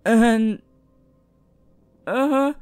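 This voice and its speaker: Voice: mid-range voice, drawn-out words, darkish tone, smooth, no room sound, faint music behind. A performer voicing a shy, earnest, lovestruck teenage boy hero in an anime roleplay, stammering tenderly. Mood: earnest, hopeful. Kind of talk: anime fan audio roleplay addressed to the listener.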